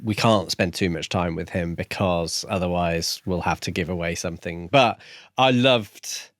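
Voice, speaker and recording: A man co-hosts a relaxed book discussion. His voice is a little low, recorded very dry. He builds his points thoughtfully, in short halting phrases. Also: no room sound. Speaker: podcast co-host.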